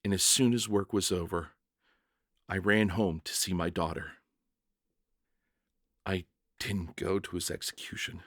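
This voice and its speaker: A man's voice: sits low, fairly even intonation, unhurried, pauses often, fairly bright tone, dry recording, clean studio sound, voice pushed down. A man playing a bewildered, earnest jailer who tells his story in the first person with dramatic, stammering emotion. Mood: reflective, moved. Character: young-hearted jailer narrator, bewildered, earnest, emotional father.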